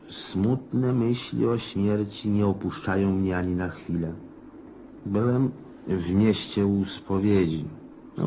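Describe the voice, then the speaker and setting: An actor playing a garrulous, gloomy and pushy old retired Cossack officer in a radio play, rambling in a folksy, insistent way with hums and chuckles. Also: male voice, lowish voice, gravelly, darkish tone, muffled recording, slight background noise, heavily acted. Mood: resigned, brooding. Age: older.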